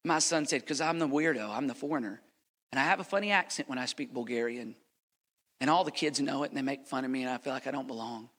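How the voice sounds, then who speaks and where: A man from the United States, a missionary pastor preaching a passionate sermon to a congregation, swinging between loud appeals and hushed, quiet lines, then praying aloud. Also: medium pitch, fast. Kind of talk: sermon with a prayer. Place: church sanctuary. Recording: reverberant hall.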